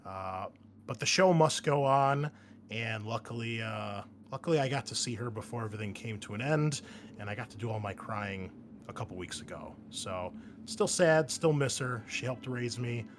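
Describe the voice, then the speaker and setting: A man's voice: medium pitch, expressive, moderate pace. Man hosting a game, noisy recording. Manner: joking, swears casually. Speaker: host and game master. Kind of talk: casual chat introducing a tabletop game show. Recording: noisy background ambience.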